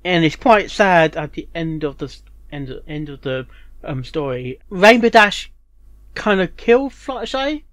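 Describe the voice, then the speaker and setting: A man hosting a reaction video in casual, rambling commentary, haltingly repeating and restarting his phrases. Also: fairly high pitch, lively intonation, a bit bright, no room sound. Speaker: reaction channel host.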